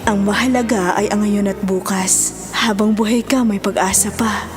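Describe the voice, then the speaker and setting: A woman plays a devoted middle-aged Filipino mother, gentle and forgiving. Her medium-pitched voice is earnest and tender, with strong drama music behind it. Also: fairly even intonation, normal speed, lightly acted. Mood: forgiving, consoling. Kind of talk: melodramatic drama acting.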